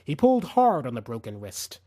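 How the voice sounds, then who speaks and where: A man's voice: mid-range voice, wildly swooping pitch, a little fast, dark tone, no echo, studio quality. A man narrating fiction as a dramatic audiobook reader, intense and suspenseful.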